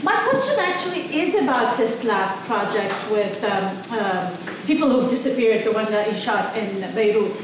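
A woman's voice: medium pitch, animated, moderate pace, hardly any pauses. Distant woman asking from the audience. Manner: loud, direct. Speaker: audience member. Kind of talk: audience question. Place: auditorium audience seating. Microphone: no mic, picked up from afar. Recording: distant echoey hall, noisy.

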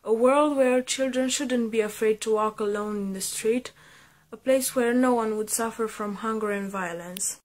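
A woman's voice: a little high, normal speed. Young woman reading a statement outdoors. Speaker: student.

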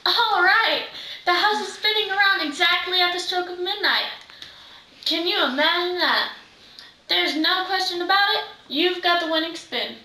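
Teenage girl playing chatty witch's helper, exclamatory script reading, bright voice, roomy sound. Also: very high-pitched, normal speed, heavily acted. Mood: amazed. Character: witch's chatty sidekick Wiley, amazed and bossy. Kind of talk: readers' theater script reading. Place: living room, far camera microphone. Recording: roomy, distant microphone.

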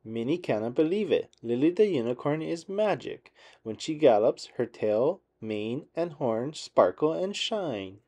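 A man narrating a picture book for young children in a measured, expressive read-aloud manner. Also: medium pitch.

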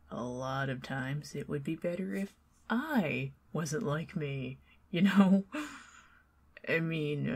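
A young woman with a Southern US accent doing an ASMR roleplay as a chatty neighbor, rambling between soft talk and whispers with nervous giggles. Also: rumbling low. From Southern United States. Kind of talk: ASMR roleplay, talking to the listener as a friendly neighbor.

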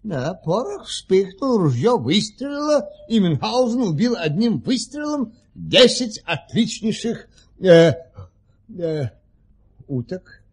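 An actor playing a forgetful, fawning marquis who tells stories in a dithering, stammering, effusive theatrical manner. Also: male voice, high voice, sing-song, slow, breathy edge, heavily acted. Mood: excited, dithering. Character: flustered, forgetful, fawning marquis, an eager storyteller. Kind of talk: theatrical radio play acting.

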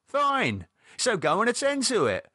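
An exasperated British man, acted out by a male narrator in an audiobook story, mocking and practical. His voice jumps high and swoops freely, recorded close and dry. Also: huge pitch swings, unhurried, bright, forceful tone, husky, dry recording, heavily acted. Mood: irritated. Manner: dismissive, mocking, exasperated, colloquial. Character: irritated, sarcastic man, a practical no-nonsense sceptic. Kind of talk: audiobook character dialogue.

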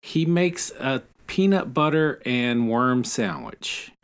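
A man's voice: medium pitch, expressive, slow, smooth. A man co-hosting a podcast, talking over a book in a casual, conversational style. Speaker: podcast co-host.

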